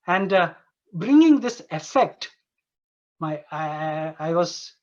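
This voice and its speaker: A man from Dubai giving an informal conference presentation as an Ayurveda doctor, earnest and halting, often repeating and rephrasing his phrases. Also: high voice. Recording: dull, lo-fi online conference audio.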